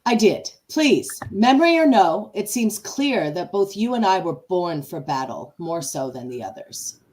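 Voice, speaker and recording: Woman playing a smooth, calculating male first officer. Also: on the low side, very animated, unhurried, darkish tone, rough recording. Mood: conspiratorial. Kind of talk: amateur podcast radio play reenactment.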